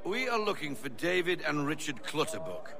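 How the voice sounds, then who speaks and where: Jovial middle-aged sailor, animated, jaunty banter, video game character, music behind. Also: male voice, fairly high pitch, moderate pace, vocal fry. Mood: genial. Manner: jaunty, bantering, barking orders at times. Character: hearty, jovial seasoned ship's first mate.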